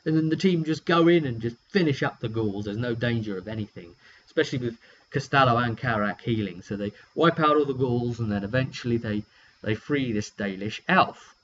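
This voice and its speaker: A British man casually telling a story in an animated, rambling way, drifting into asides as he goes. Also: mid-range voice, a little fast. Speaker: tabletop game player recounting a session. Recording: muffled lo-fi audio with hum.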